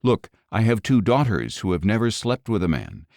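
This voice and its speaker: A man's voice: lowish voice, sing-song, normal speed, darkish tone, no room sound, studio quality. A man voicing an anxious older father who earnestly implores, in an audio Bible story. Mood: pleading. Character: anxious, pleading older man, father sheltering his guests.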